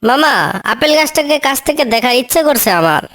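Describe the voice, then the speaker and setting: A woman's voice: sits high, animated, a little fast, a bit bright. A woman voicing a small, clever female bird in a children's cartoon, with a coaxing, pleading manner. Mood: innocent, curious. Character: small clever female tailorbird, helpful, wheedling niece. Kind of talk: children's cartoon story dialogue.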